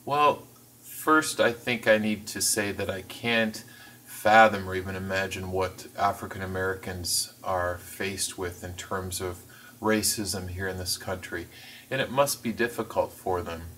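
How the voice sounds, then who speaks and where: A man voicing a signed interview as interpreter, phrasing things in a measured, careful way with the occasional restart. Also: low voice, fairly bright tone, distant mic, a little hiss or hum, poor audio. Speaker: sign language voice interpreter.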